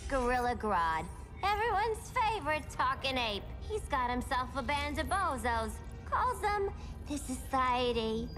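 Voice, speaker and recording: An actress playing a zany, cheeky reformed villainess in a video game, slangy and bubbly. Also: female voice, sits high, sing-song, measured pace, fairly bright tone, faint music behind, exaggerated voice. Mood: cheeky, playful. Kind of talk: video game cutscene acting.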